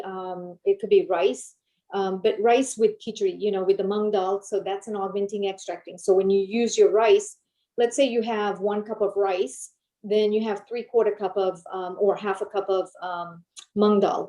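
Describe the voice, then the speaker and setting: A woman's voice: mid-range voice, mellow. A woman from Zambia, an Ayurvedic chef, explaining cooking principles on a video call, with many filler ums. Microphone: video call microphone.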